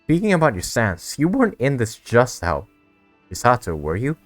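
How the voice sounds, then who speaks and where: A man's voice: mid-range voice, huge pitch swings, normal speed, no echo, faint music behind. A man giving casual let's play commentary as a gaming streamer.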